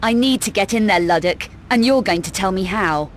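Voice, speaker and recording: A woman's voice: medium pitch, expressive, moderate pace, slight background noise, close to an everyday voice. A woman with a British accent playing a tough, sardonic female adventurer in a video game, speaking in a clipped, dry, demanding way. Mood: impatient, sardonic. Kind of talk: video game cutscene acting.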